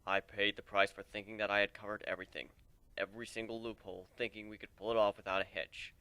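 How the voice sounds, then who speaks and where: Man as a guarded lone-wolf fighter, smooth and dry. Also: fairly low pitch, level tone, quick pace, sharp tone, no room sound, low-quality recording. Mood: grave. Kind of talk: dramatic reading of fan fiction.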